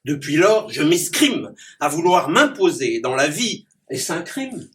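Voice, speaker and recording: A man's voice: fairly high pitch, very animated, unhurried. A man who is a clown and poet, reciting rhyming comic poems to a small gathering and chuckling at his own puns. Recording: distant mic with background noise.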